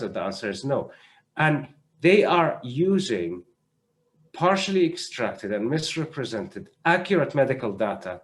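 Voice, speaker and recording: A man with a mid-range voice speaks as an expert panelist on an online call. He explains in a measured, thoughtful way, often restarting and repeating words to press his point. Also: medium pitch. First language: not French (French is third language). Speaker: panelist, doctor. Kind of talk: panel discussion, spontaneous explanation. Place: online video conference.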